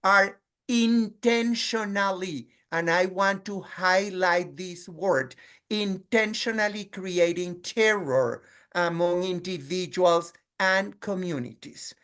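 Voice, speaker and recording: A middle-aged man presents to an online audience with a Colombian Spanish accent. He is earnest and measured, choosing his phrases deliberately and sometimes repeating them as he goes. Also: sits high, pushed and bright, clear, resonant tone. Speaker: guest speaker, clinical social worker. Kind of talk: webinar presentation.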